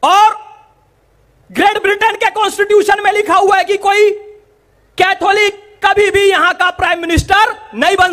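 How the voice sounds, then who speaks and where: A man's voice: very high-pitched, fairly bright tone. A man delivers an impassioned public address. He speaks emphatically, repeating key phrases and putting rhetorical questions to his listeners. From India.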